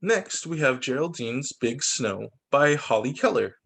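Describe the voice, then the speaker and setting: Lively male teacher reading aloud. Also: medium pitch, a little slow, vocal fry, slightly rough. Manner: animated, stops to explain to kids. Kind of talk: reading picture books aloud to children. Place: home over a video call. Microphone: laptop mic on video call.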